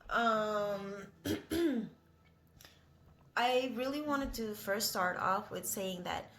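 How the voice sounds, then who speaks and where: A woman's voice: medium pitch, extremely slow, a bit bright, a little hiss or hum. A young woman, not a native English speaker, talking in a casual, rambling vlog with many hesitant fillers. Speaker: vlogger.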